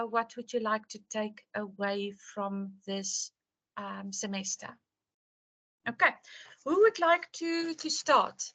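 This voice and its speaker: A woman's voice: on the high side, fairly bright tone. A woman instructor opening an online course, repeating her questions and praising answers, with many fillers. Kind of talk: online class intro.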